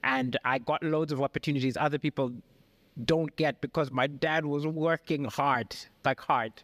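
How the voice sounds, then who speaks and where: A male comedian from Malawi chats animatedly, laughing often as he tells his stories. His voice sits somewhat high. The studio sound is dry and close. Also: steady tone, normal speed, fairly bright tone, dry recording. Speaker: guest comedian. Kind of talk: casual interview chat.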